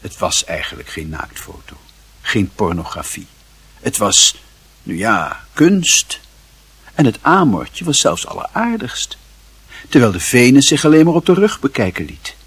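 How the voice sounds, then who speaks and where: A man's voice: mid-range voice, very animated, unhurried, a bit bright, husky, no room sound. A man narrating a radio play as a scripted story, with wry, theatrical emphasis.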